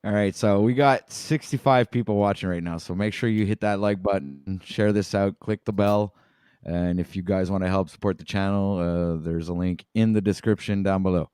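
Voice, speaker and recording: A man's voice: low voice, steady tone, moderate pace, a little mellow, clean tone, no echo, clean studio sound. A man hosting a live stream, calling the rounds of a debate like an emcee and asking viewers for likes. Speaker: stream host.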